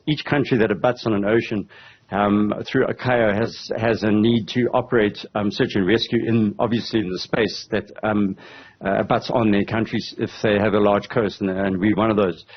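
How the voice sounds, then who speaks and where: A man in his fifties gives measured, halting explanations as an interview guest. His voice is fairly low and husky. It comes over a video call with a slightly dull, lo-fi sound. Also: fairly even intonation. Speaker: aviation commentator and interview guest. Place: indoor room on a video call. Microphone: headset on a video call.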